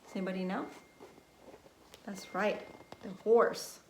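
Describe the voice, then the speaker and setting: A woman nursery teacher reading a story aloud to young children in an expressive, deliberate way, prompting them with questions. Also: mid-range voice. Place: indoors at home. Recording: roomy echo, faint background noise, home recording.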